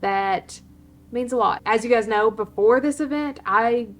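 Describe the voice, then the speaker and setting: A woman hosting her own channel, giving an unscripted casual update to viewers, a little hoarse and pausing for breaths. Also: a little high. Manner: unscripted, breathy pauses, laughs often. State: slightly hoarse, short of breath. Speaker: reptile channel host.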